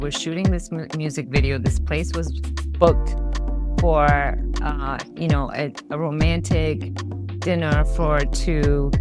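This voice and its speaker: A woman from London, a venue founder being interviewed, talking in an animated way with frequent laughs and restarts. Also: sits low. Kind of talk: casual interview chat.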